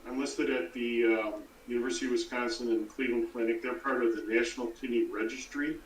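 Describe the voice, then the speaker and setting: A man's voice: mid-range voice, steady tone, fast, slight background noise. A middle-aged or older man explaining things casually as a guest on a live stream. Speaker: guest explaining a donor program. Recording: roomy, distant mic.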